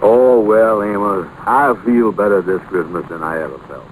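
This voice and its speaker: A man's voice: on the low side, normal speed, natural voice, rough recording. An actor playing an easygoing, middle-aged male friend in an old-time radio comedy-drama, with a hearty, folksy manner. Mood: hearty, grateful. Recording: old radio broadcast with faint noise.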